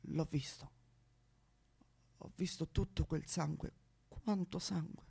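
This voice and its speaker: A man's voice: on the high side, fairly even intonation, takes it slowly, creaky, warm tone, slightly rough, heavily acted. A male actor playing a bitter, self-loathing misanthropic man in a histrionic theatrical comic monologue. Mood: hushed, confessional. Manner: histrionic, swinging from muttering to outbursts with spitting noises. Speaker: stage monologue performer.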